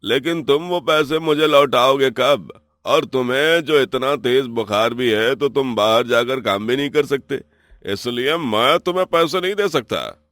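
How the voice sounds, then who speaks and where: A man voicing a stern, wary village moneylender who questions and warns deliberately in a moral story. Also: mid-range voice, fairly bright tone, no echo, studio quality, natural voice. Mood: suspicious.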